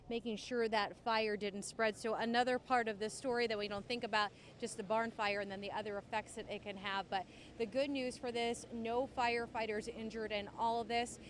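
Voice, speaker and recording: A woman's voice: a little high, steady tone, moderate pace. An American woman in her thirties giving a television news report from the field. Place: outdoors by farm fields. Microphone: handheld reporter microphone. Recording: light outdoor background noise.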